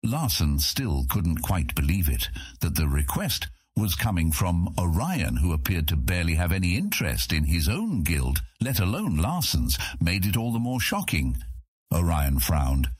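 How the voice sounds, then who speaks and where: A man's voice: deep voice, big pitch swings, a little slow, husky, no room sound. A man narrating a scripted fantasy audiobook, recorded close to the microphone.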